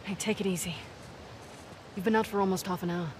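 An actress playing a tough, level-headed adventurer in a video game, dry but caring. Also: female voice, medium pitch, steady tone, brisk, close to an everyday voice. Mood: concerned, soothing. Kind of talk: naturalistic cinematic video game acting. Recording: clear ambient and vehicle noise.

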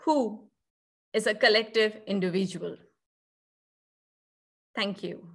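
A woman's voice: medium pitch, slow pace. A woman presenting scripted narration on a video call, with reflective, poetic phrasing. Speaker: theatre artist presenting at a conference.

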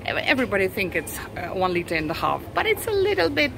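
A Russian woman with a Russian accent, talking casually as a vlogger while she walks through a grocery store, halting often with "uh" and restarts. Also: medium pitch, wildly swooping pitch. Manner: unhurried, halting, frequent uh and restarts. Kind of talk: casual shopping vlog walk-through. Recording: clear store background noise.

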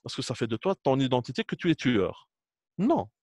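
A man's voice: mid-range voice, sing-song, moderate pace, a little mellow, no room sound, clean studio sound. A man in his thirties with an Algerian accent, talking informally as a trainer, emphatic and repetitive, switching between languages. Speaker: trainer speaking to students. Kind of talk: informal talk to camera, switching between French and Arabic.

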